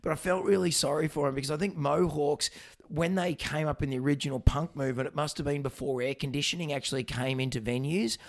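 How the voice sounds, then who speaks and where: An Australian man in his forties, a veterinarian hosting a podcast, hoarse and gravelly after a night of singing, telling rambling stories with lots of fillers and laughs. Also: fairly high pitch, fast talker. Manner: rambling storyteller who laughs often. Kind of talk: casual banter with filler words.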